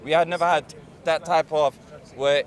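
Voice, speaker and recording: A man's voice: fairly high pitch, steady tone, normal speed. A man from Somaliland debating outdoors, restarting his words and checking that others follow him. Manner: hesitant, restarts, seeks agreement. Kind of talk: street debate. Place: outdoor public square. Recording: outdoor street with a crowd. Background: crowd.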